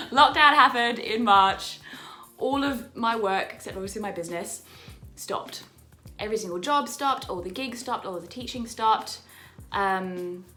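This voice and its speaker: A woman's voice: mid-range voice, fairly bright tone. A young Australian woman whose accent is turning a little English, chatting in a bubbly way from her apartment, repeating words for emphasis and laughing often. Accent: Australian, picking up some English. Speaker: vlogger, musical theatre performer. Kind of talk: casual chatty vlog to camera.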